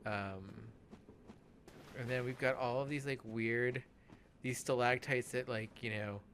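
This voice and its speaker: A man chatting casually as he commentates on a game, halting and often trailing off mid-thought. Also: mid-range voice, fairly even intonation, fairly bright tone, a little hiss or hum. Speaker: let's play podcast host.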